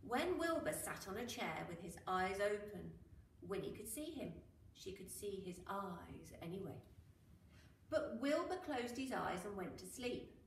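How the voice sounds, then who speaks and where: A woman reading a children's story aloud in a dramatic, expressive way, with drawn-out emphasis on key words. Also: mid-range voice, vocal fry. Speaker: school teacher reading to children. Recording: roomy, echoing, noisy, distant mic.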